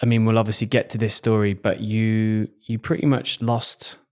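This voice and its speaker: A casual podcast host, a man with a somewhat low voice. He talks in a loose, agreeable way, stumbling over repeated words as he circles toward his questions. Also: little pitch movement, moderate pace, darkish tone. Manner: conversational, repeats words and restarts, agreeable "yeah" and "mm". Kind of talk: casual interview conversation.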